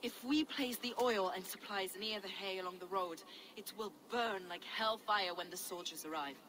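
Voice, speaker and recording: An actress playing a learned, zealous medieval mystic, measured and preacherly, in a dramatic video game. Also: female voice, mid-range voice, a bit bright, a little hiss or hum, close to an everyday voice, rough recording. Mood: urgent.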